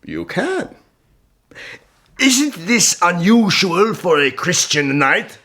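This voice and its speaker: An actor playing a shrewd, imperious medieval sultan in a historical radio drama, measured and questioning. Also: male voice, high voice, very animated, bright, forceful tone, full character voice. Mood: wry, superior.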